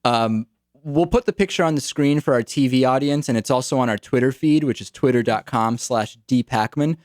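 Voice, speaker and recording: A man's voice: medium pitch, moderate pace, darkish tone, no echo, studio quality. A young man hosting a political talk radio show, casual and wry, often repeating his words.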